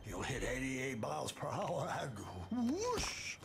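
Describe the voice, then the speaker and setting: A man playing an excitable, eccentric older inventor in an animated video game, theatrical and full of exclamations, with a creaky voice. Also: mid-range voice, takes it slowly, full character voice. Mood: exultant. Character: excitable eccentric older male inventor, toy cartoon figure. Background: loud music.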